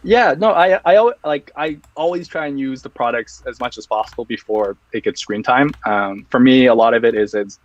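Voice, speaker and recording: A man's voice: mid-range voice, moderate pace, few pauses, no echo, lo-fi sound. A man guesting on an interview, talking casually and loosely with lots of restarts and fillers. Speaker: guest, EDC accessory reviewer.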